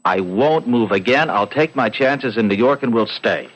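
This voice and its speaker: An actor playing an ambitious American husband in a 1970s radio drama, persuasive and stammering with eagerness. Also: male voice, mid-range voice, animated, normal speed, highs cut off, close to an everyday voice. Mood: reassuring. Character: ambitious, coaxing young husband, advertising executive.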